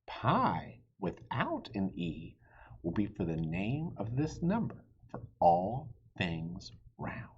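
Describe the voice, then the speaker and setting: Man playing a husky, dramatic dragon father. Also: medium pitch, wildly swooping pitch, slow pace, highs cut off, pitched above the performer's own voice, full character voice. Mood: declaring. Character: Sir Cumference, hearty father turned dragon.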